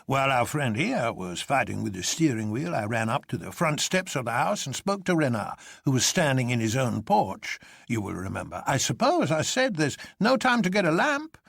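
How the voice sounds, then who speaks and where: A man voicing an excitable old colonel who tells his tale with emphatic relish in an audiobook. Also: medium pitch, moderate pace, vocal fry, hardly any pauses, slightly rough, no room sound, clean studio sound, heavily acted. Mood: amused, boastful.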